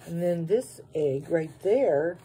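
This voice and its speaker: A woman's voice: fairly low pitch, lively intonation, slow, mellow, breathy edge. A plain-spoken, folksy woman giving casual home-video narration on a noisy phone recording. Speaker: farm vlogger.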